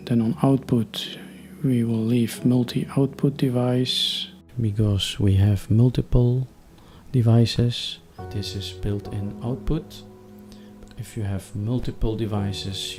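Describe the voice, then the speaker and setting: A man hosting a step-by-step software tutorial in a deliberate, instructional manner. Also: mid-range voice. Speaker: tutorial host.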